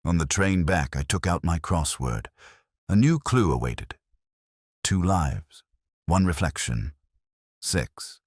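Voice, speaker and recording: Man playing a very deep, brooding detective. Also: slightly rough, no room sound, studio quality, full character voice. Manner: measured, solemn, reflective. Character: brooding, world-weary English police inspector recounting a case. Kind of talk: literary first-person scripted narration.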